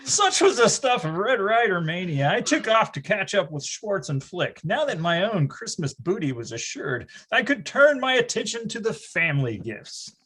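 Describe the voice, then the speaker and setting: A man's voice: high voice, big pitch swings, moderate pace, hardly any pauses, fairly bright tone, husky, heavily acted. A man playing a grown-up storyteller who wryly recalls his boyhood in a mock-grand, theatrical manner for a readers theatre. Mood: confident.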